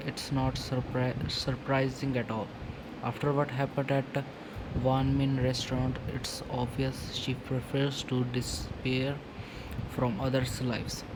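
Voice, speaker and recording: A man reading video game dialogue aloud, haltingly, stumbling over and repeating words. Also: mid-range voice. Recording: clearly noisy background.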